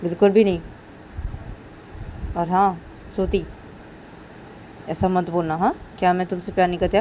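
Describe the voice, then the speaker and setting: A woman's voice: on the low side, level tone, normal speed, mellow, slight background noise, natural voice, poor audio. A woman voicing an affectionate young woman who soothes and scolds, repeating herself insistently in a storytelling recording. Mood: tender, apologetic. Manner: insistent, repeating, tag questions.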